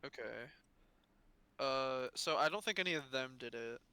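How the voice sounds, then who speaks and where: A man with a bright, clean voice of medium pitch talks casually in online game chat. He sounds crisp as he works things through with the other players. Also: moderate pace, no echo. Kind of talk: casual group game discussion. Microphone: voice chat microphone.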